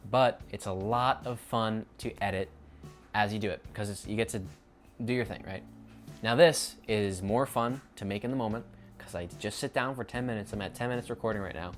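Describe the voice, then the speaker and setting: A man's voice: fairly low pitch, a little fast, soft background music. A young man talking straight to camera in an unscripted vlog, rambling and correcting himself as he goes. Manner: rambling, self-correcting, off the cuff. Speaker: YouTube creator. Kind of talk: unscripted casual vlog talk.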